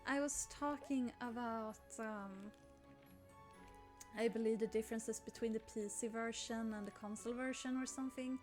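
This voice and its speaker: A woman's voice: a little high, steady tone, moderate pace. A young Swedish woman with a Swedish accent, chatting and rambling over a game she plays, often apologizing and squealing over cute things. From Sweden. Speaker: gaming YouTuber. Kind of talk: casual let's play commentary. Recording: faint game music behind.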